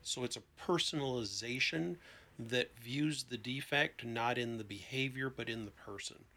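A man's voice: medium pitch, normal speed, pushed and bright. A man speaking as a therapist guest in an interview, explaining in a conversational way with examples. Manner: explanatory, illustrating with anecdotes and quoted dialogue.

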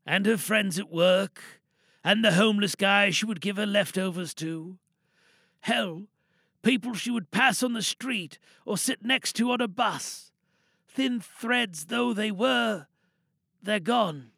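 A man acting a remorseful, guilt-laden confessor in an audio drama monologue, reflective and halting, with a creaky voice. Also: sits high, fairly bright tone, no room sound, clean studio sound, heavily acted. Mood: remorseful, subdued. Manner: halting, reflective, plain-spoken. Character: remorseful man confessing to having taken a woman's life.

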